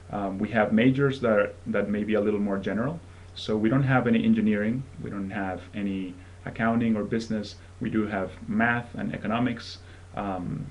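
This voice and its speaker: A young man from Venezuela in his twenties presents his college to prospective students in a friendly, chatty way. His voice sits on the low side, and he pauses often with ums. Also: fairly even intonation, lo-fi sound. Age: 20s. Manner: friendly, conversational, with frequent ums. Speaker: college admission fellow.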